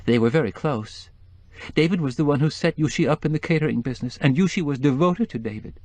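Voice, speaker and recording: A man voicing a distraught middle-aged woman who keeps breaking into tears, her voice quavering and climbing high. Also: quick pace, a little mellow, no echo, close to an everyday voice. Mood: tearful.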